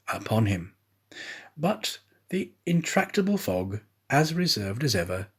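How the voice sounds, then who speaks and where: A man reading a classic novel aloud as a storyteller, with a theatrical, measured delivery. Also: mid-range voice, husky. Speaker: storyteller, audiobook reader. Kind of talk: scripted narration of a classic novel.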